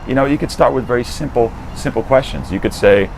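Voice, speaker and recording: A young man with a deep voice giving casual, energetic dating advice, swearing freely and dropping "dude" and "right?". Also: fast, slight background noise, poor audio. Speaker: dating coach. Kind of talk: casual direct-to-camera advice.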